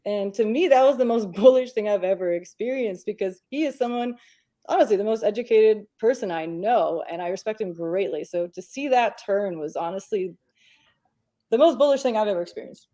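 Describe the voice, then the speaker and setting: A woman's voice: medium pitch, a little fast, warm tone. A woman from Romania, a podcast panelist, telling a story in a casual panel discussion and acting out family dialogue.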